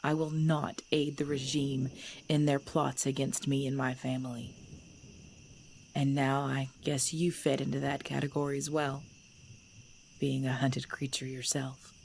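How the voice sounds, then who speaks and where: A woman playing a centuries-old vampire mistress in an ASMR audio drama, speaking formally in a breathy, measured voice. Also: very deep voice, level tone, darkish tone, slight background noise, heavily acted. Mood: grave, resolute. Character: centuries-old vampire mistress, female, cold ruler turned protective.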